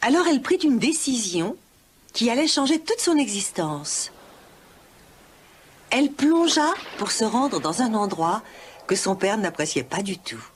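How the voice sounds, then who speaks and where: A woman narrating a children's animated fairy tale as a scripted storyteller. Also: fairly high pitch, unhurried, slight background noise.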